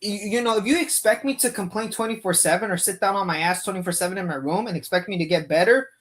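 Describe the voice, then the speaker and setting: A man's voice: sits high, fast talker, a bit bright. A man hosting a casual live stream chat, laid-back and swearing freely, calling people bro and dude.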